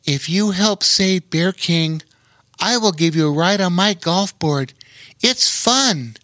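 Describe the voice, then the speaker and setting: A kind and cheerful boyish friend, as a man puts him on, speaking in a lifted, lively voice. The reading is slow, close to the mic and dry. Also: dry recording, full character voice. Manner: kind, cheerful, raised pitch. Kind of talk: storybook reading.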